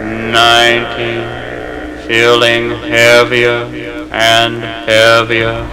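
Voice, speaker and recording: A man speaking as a hypnotist, counting down in a slow, soothing, repetitive way and then giving firm suggestions. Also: mid-range voice, rough recording. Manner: commanding suggestions. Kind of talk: hypnosis induction from an old record. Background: music playing under the voice.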